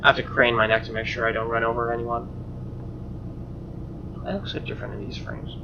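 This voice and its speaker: A man's voice: medium pitch, flat delivery, fairly quick, roomy sound, lo-fi sound, noisy background. A man reading visual novel narration aloud as a let's player, with offhand asides. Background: soft game music with guitar.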